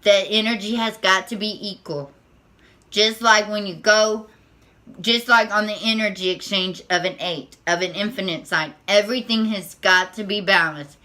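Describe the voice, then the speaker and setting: Squeaky, bright-voiced nervous woman. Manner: nervous, halting, many restarts and repeated words. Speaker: spiritual tarot vlogger. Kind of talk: unscripted spiritual monologue to camera.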